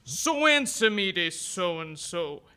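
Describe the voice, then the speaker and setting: High, sharp-voiced smug gangster. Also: male voice, sing-song, slow, clean tone, heavily acted. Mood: smugly menacing. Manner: mocking, taunting. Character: smug, menacing 1940s male gangster in zoot suit. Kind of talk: 1940s radio crime drama acting.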